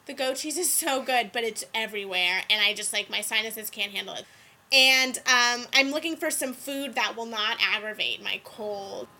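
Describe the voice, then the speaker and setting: Bright-voiced congested woman host. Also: a little high, normal speed. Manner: chatty, explains clearly. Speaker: podcast host. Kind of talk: casual storytelling and explanation. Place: indoors.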